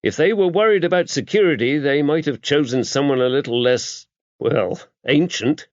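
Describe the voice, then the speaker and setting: A man voicing a fastidious, wry investigator in audiobook dialogue, speaking in an emphatic, exclamatory way. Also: on the high side, dry recording, pitched above the performer's own voice, lightly acted. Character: Cotton, fastidious male investigator, incredulous and wry. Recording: dry, dull lo-fi audio.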